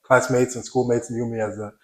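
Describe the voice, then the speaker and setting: Soft-spoken young man in an echoey room. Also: medium pitch, brisk. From Nigeria. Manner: relaxed, soft-spoken, trails off, frequent restarts. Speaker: guest, professional basketball player. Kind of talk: casual interview.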